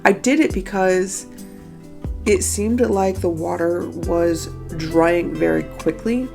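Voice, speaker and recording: A young woman with a hoarse, stuffy morning voice, chatting casually and self-critically about her own paintings in an art vlog. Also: lowish voice, slow pace, music in the background. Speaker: art vlogger. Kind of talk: casual talking-head recap.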